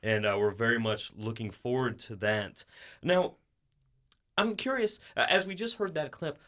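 A man with a medium-pitched voice hosts a radio interview. He is conversational, with measured, wordy questions. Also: animated, sharp tone. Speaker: radio show host.

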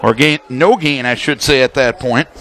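A man's voice: mid-range voice, a bit bright, some chatter behind. A man doing live radio play-by-play of a football game, calling the action in clipped, detailed phrases. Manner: rapid-fire situational detail in clipped phrases.

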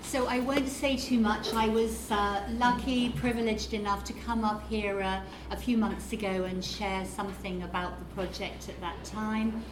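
A woman giving short, earnest remarks at a live panel in a measured way. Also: mid-range voice, steady tone. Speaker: panel speaker. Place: event hall with live audience. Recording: echoey hall, background noise.

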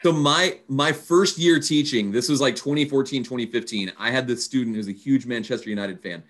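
A man's voice: medium pitch, a little fast, a bit bright. A man telling anecdotes in a casual chat, laughing often.

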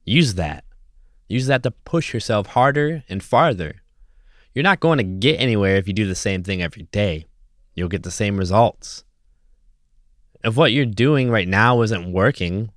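A man with a lowish voice talks solo as a podcast host. His delivery is casual, earnest and motivational. The sound is dry, with no room on it. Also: animated, normal speed, no room sound.

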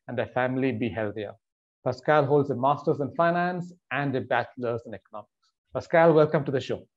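A man in a middle register hosts an interview podcast. He talks in a measured way, with plenty of ums and uhs. The audio sounds slightly dull and lo-fi. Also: mid-range voice, a little mellow. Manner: measured, with frequent fillers. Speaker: podcast host.

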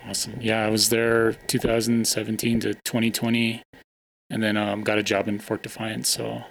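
A man with a low, flat, gravelly voice asks questions in a relaxed, halting way, dotting his talk with ums. Faint ambient noise sits behind him. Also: rough recording. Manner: hesitant, many ums. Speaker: interviewer. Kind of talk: casual interview.

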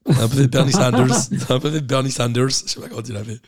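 A man's voice: medium pitch, steady tone, very fast, no echo, studio quality. A middle-aged man with a French accent hosting a casual chat, asking questions and telling anecdotes in a teasing, informal way. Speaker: podcast host.

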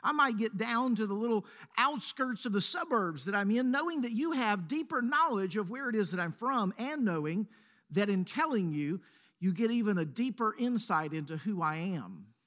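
Man, Mississippi accent, pastor, high voice, earnest sermon, deliberate pauses. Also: expressive, fairly bright tone. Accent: Mississippi Southern. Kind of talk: sermon with opening prayer. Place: church sanctuary.

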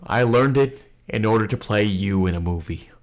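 A man's voice: lowish voice, moderate pace. A man playing an earnest character in a comedy radio play. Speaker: podcast performer.

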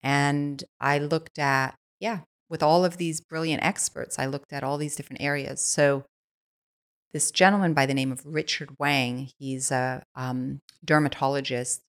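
A woman's voice: low-pitched, steady tone, unhurried. A woman from Switzerland, a guest on a podcast, telling stories in an eager, casual way with many "like" fillers. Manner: enthusiastic, lots of "like" fillers. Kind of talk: casual conversational storytelling.

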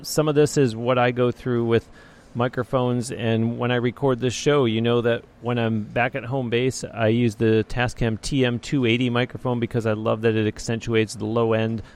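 A man with a deep baritone voice, hosting a podcast interview in a measured, polished way. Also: level tone, darkish tone. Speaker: podcast host. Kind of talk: interview and host-read ad. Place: remote location. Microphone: handheld recorder with external mics.

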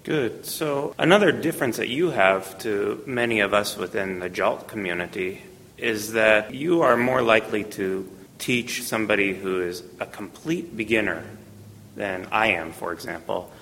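A low-pitched man with a very gravelly, creaky voice asks measured interview questions. The recording has a long room reverb and a faint low rumble. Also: fairly bright tone. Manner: measured, with brief listening affirmations.

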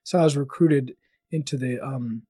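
Husky-voiced man, dry close sound. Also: medium pitch, moderate pace, mellow, no echo. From Florida, United States. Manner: hesitant, many fillers and restarts. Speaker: colorectal surgeon, podcast guest. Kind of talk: casual interview talk.

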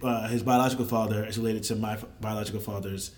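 A man's voice: lowish voice, steady tone, fast. A man in his forties from the US, a little nasal and stuffed up with a slight cold, chatting and joking as a guest. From United States. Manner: chatty, joking, laughs often. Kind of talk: casual chat.

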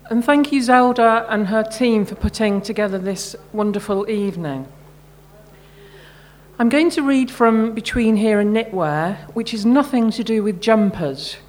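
A middle-aged woman, an author reading her own short fiction at a live event, measured and carefully phrased. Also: fairly high pitch, a little mellow.

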